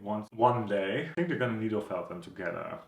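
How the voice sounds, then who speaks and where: A man's voice: lowish voice, animated, quick pace, vocal fry. A man giving casual, wry reaction commentary as a channel host, musing in a loose conversational way. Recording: roomy echo, music behind.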